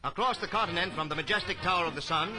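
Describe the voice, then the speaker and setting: Male newsreel narrator, 1930s, high register, grandiose scripted read over music. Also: rapid-fire. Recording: vintage 1930s newsreel soundtrack.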